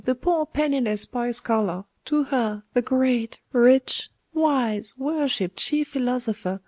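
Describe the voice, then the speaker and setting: A woman's voice: a little high, dark tone, dry recording. A woman voicing a sly, domineering old woman schemer in a storybook, with a taunting, wheedling manner. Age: older.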